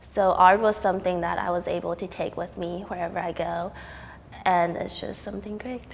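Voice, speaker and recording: A woman's voice: fairly low pitch, steady tone, moderate pace, gravelly. A young woman from Taiwan, a student artist, talking casually in an interview with frequent ums and likes. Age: young adult. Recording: reverberant hall with faint noise.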